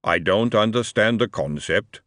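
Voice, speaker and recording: A man voicing a male horse that speaks slowly and literally, with a deadpan manner. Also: fairly low pitch, no room sound, studio quality.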